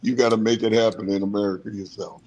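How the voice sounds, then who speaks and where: A man in his seventies with a husky voice, giving casual, folksy advice as a podcast co-host. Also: lowish voice, fairly even intonation, fast, a little mellow, a little hiss or hum. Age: 70s.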